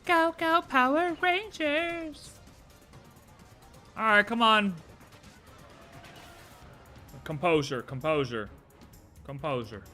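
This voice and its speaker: A streamer reacting live to a game with excitable, sarcastic, joking commentary. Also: medium pitch, slow pace, long pauses, faint music behind.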